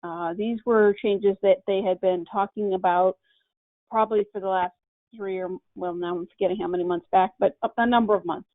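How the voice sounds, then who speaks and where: A woman's voice: mid-range voice, fairly even intonation, a little fast, dry recording. Woman on a tinny phone line. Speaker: policy presenter. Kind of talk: informal spoken update. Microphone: cell phone.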